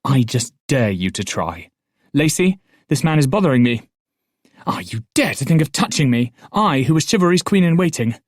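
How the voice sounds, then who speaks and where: A man voicing an excitable, headstrong elderly noblewoman in an audiobook, talking in a rapid, indignant rush. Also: medium pitch, breathy edge, no echo, clean studio sound, natural voice. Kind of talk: audiobook character voice.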